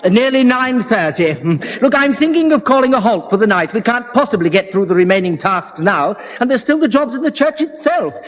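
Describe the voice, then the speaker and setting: A man's voice: very high voice, animated, fairly quick, hardly any pauses, a little hiss or hum. A man playing a fussy, prim, easily flustered church curate in a 1960s radio sitcom. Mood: mild, fussy.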